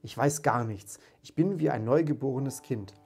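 A man's voice: mid-range voice, normal speed, vocal fry, darkish tone, slightly rough. A man voicing a reformed old miser from a storybook, exclaiming with great emotion and emphasis. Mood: giddy joy. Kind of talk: dramatised storybook reading.

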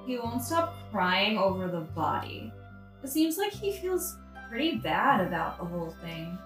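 A woman's voice: medium pitch, very animated, unhurried, a bit bright. A woman playing a troubled, exasperated queen in a satirical audio play, with a dramatic delivery. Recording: echoey room, music behind.

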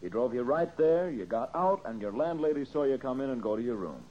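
A man's voice: medium pitch, animated, fairly quick, natural voice. An actor playing a confident, methodical male detective in an old-time radio mystery, explaining with emphasis. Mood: assured, accusing. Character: brisk, confident male detective laying out a case. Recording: muffled old radio recording.

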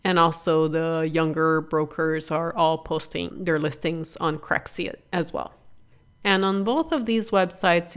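Woman podcast host, kinda raspy from being sick, lowish smooth voice, chatty and upbeat. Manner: chatty, upbeat, informal asides. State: raspy from illness. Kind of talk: solo podcast explainer.

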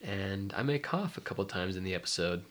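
Young man, congested nasal voice, lowish pitch, casual podcast chat. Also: big pitch swings, a little fast, a bit bright. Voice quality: nasal, stuffy. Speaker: podcast co-host, mindfulness life coach.

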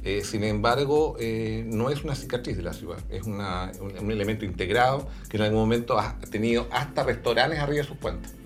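A man, a guest on a talk show, speaking in a thoughtful, measured way with drawn-out pauses. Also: lowish voice, soft background music. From Chile. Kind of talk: radio talk show conversation.

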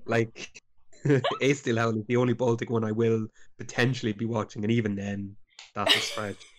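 A man's voice: medium pitch, little pitch movement, moderate pace, background noise. A man with an Irish accent on a casual podcast, complaining dramatically and mocking himself with laughter. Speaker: podcast co-host. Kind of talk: casual chat.

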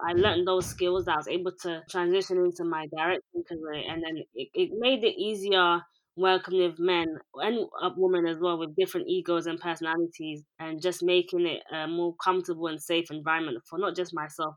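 A woman in her twenties from England, a director and producer, speaking in a calm, even, rather monotone way as an interview guest. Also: on the low side, poor audio. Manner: calm, even, self-described monotone delivery. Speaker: music video director and producer. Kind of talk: interview guest, casual conversation.

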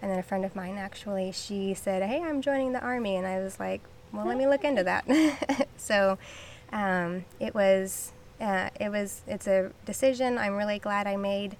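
A woman's voice: medium pitch, normal speed, a little mellow, a little hiss or hum. A woman from Southern California, a podcast guest, answering in a hesitant way with many ums and easy laughs. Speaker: podcast guest, army veteran. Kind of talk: casual interview.

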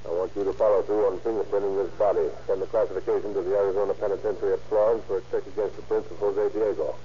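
An actor playing a brisk, commanding homicide captain in old radio crime drama style, clipped and authoritative. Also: male voice, lowish voice, flat delivery, warm tone, lightly acted. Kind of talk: 1930s-40s radio crime drama acting. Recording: muffled, noisy old-time radio recording.

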